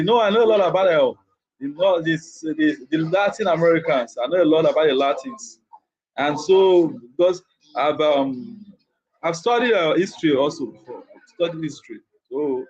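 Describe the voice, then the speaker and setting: A man with a Nigerian accent hosts a relaxed livestream chat in a voice pitched a bit high. He says things again and again as he talks to viewers. The sound is muffled and lo-fi. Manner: repeats his phrases over and over, chatty. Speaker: livestream host.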